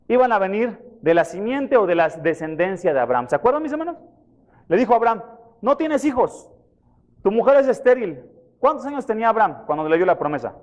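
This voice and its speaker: A pastor preaching a sermon, questioning his congregation and retelling scripture in a lively way. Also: high-pitched. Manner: questions the congregation, repeats references for them. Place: church.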